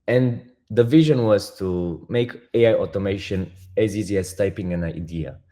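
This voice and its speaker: Romanian-accented man, mellow low voice. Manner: halting, restarts often, explains step by step. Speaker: guest, crypto project founder. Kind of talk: casual interview talk.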